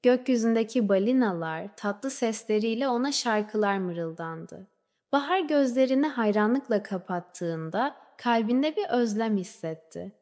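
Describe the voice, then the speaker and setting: A woman narrating a scripted children's fairy tale in a measured storybook way, letting phrases trail off softly. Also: on the high side, lively intonation. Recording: long reverberant hall-like echo.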